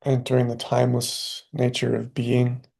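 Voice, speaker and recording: A man leading a guided meditation, speaking calmly in short phrases with long pauses between them. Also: medium pitch, creaky, husky. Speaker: meditation teacher.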